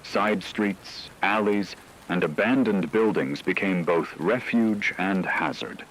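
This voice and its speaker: A man reading scripted, documentary-style dramatic narration in a measured, solemn way. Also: low-pitched, a bit bright, slight background noise, low-quality recording.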